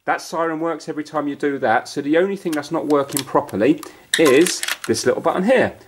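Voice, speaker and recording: A man's voice: a little high, fairly quick. A man thinking aloud in casual, unscripted commentary as he hosts a hands-on amateur repair video. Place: carpeted room at home. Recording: noisy home camera audio with clicks.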